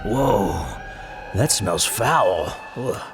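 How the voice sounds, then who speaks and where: A man's voice: fairly low pitch, lively intonation, very slow, noisy background. A man playing a simple, curious backwoods loner who mutters to himself in an audio drama. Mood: disgusted. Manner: muttering to himself in short exclamations. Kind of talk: audio drama character acting. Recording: loud music under the voice. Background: loud music.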